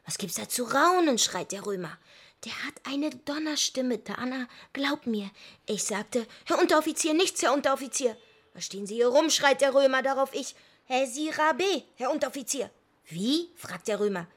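An actress playing a brooding, defiant daughter who pines for her twin brother, in intimate, husky radio drama acting. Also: high voice, animated, moderate pace. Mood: reading, animated. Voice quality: husky, breathy.

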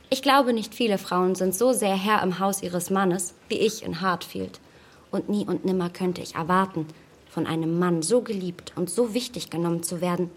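A woman's voice: mid-range voice, natural voice. A young woman acting a witty, self-assured heiress in a period radio play, poised, articulate and superior in manner.

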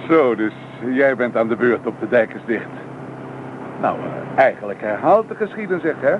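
A man's voice: medium pitch, lively intonation, normal speed, natural voice. An actor playing a friendly, reassuring middle-aged man chatting in a Dutch radio play. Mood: friendly, curious. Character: friendly, reassuring older acquaintance giving a lift. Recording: tinny, noisy old radio recording, vehicle noise.

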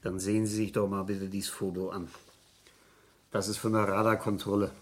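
An actor playing an unhurried, probing police inspector in a 1970s German radio crime drama, insistent and colloquial. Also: male voice, fairly low pitch, flat delivery, lightly acted. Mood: businesslike, pressing. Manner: insistent, colloquial, ends questions with tags.

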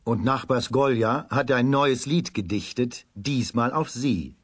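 Husky male storyteller, close and dry. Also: medium pitch, measured pace, fairly bright tone, no echo. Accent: standard German. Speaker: storyteller, narrator. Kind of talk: expressive scripted children's storytelling. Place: dry studio.

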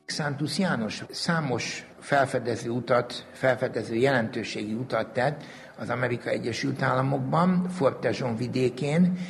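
A man's voice: mid-range voice, creaky, soft background music. A man giving a formal, bookish account as an interviewed museum director. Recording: long hall reverb, faint noise.

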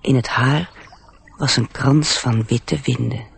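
A man's voice: medium pitch, fairly even intonation, takes it slowly, gravelly, breathy edge. A man narrating a radio play in a scripted storybook style.

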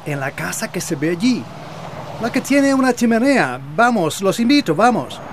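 A man's voice: sits high, very animated, normal speed. A man acting a friendly, hospitable amateur inventor in a scripted educational drama. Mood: friendly, inviting. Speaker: actor in an educational family drama. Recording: clear vehicle and ambient noise.

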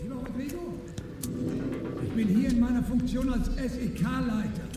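An actor playing a smug police squad leader, goading with mock courtesy in a radio crime drama, heard muffled and distant. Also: male voice, very high voice, measured pace, over music. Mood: mock-courteous. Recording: muffled, very echoey, distant from mic.

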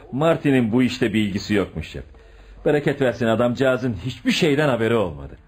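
An actor playing a sensible police commissioner in a radio play, reporting in a conversational, storytelling way. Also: male voice, medium pitch, big pitch swings, brisk, natural voice. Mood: matter-of-fact, explanatory. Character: sensible, matter-of-fact police commissioner reporting to his chief. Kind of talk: Turkish radio theatre acting.

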